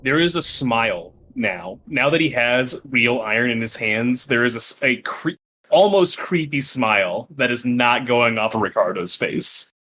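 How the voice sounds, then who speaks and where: A man's voice: medium pitch, moderate pace, sharp tone. A man chatting casually as a player in a tabletop role-playing game, informal and slangy.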